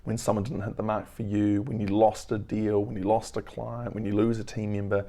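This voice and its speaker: A man in his thirties with a hoarse, crackly voice from losing it, clearing his throat often as he gives an earnest, rambling weekly business vlog update from home. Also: lowish voice, level tone, brisk, warm tone. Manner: earnest, reflective, rambling with fillers. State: hoarse, lost voice. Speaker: business owner vlogger.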